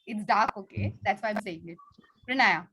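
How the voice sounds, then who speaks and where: A woman's voice: mid-range voice, moderate pace, vocal fry, noisy background. A woman with an Indian accent leading an online class for children, talking to them in an encouraging, instructive way over a video call. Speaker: teacher hosting an online kids' class. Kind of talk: interactive class talk to children.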